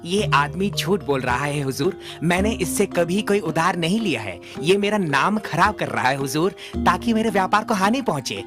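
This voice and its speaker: A man's voice: high voice, expressive, moderate pace, few pauses. A man voicing a dishonest cartoon merchant, whining and protesting with falsely indignant deference. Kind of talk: Hindi cartoon voice acting. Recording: music behind the voice.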